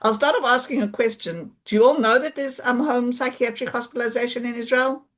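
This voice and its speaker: A woman's voice: on the high side, steady tone, brisk. Chatty South African woman on a video call. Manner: chatty, loose, restarts often, sprinkles in Hebrew words. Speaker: occupational therapist giving a talk. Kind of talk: informal online presentation.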